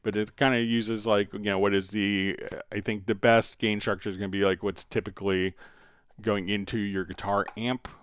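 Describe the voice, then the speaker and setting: A man hosting a live software tutorial, answering viewer questions casually and thinking aloud in a halting way as he demonstrates. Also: fairly low pitch, flat delivery, smooth, dry recording.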